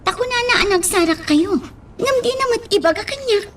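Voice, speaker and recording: An actress playing a distressed, insistent young daughter in a radio love drama, with a Filipino accent. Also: female voice, extremely high pitch, expressive, fairly quick, noisy background. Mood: upset, determined.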